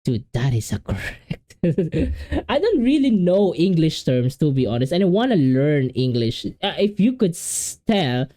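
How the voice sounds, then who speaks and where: A man's voice: fairly high pitch, big pitch swings, measured pace, warm tone. A man from the Philippines with a Filipino accent, whose first language is Filipino, reacting casually on video, rambling and often repeating and restarting words.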